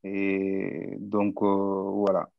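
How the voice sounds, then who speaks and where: A man in his thirties, a former footballer, introducing himself slowly and hesitantly. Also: sits low, completely flat delivery, creaky, no echo. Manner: slow and hesitant. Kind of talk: video call self-introduction. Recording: dry muffled call audio.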